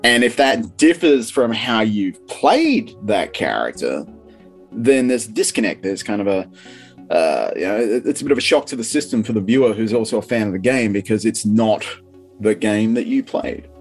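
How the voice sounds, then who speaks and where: A man's voice: on the low side, lively intonation, normal speed, soft background music. A man speaking as a film critic guest in a casual interview, informal, opinionated and explanatory.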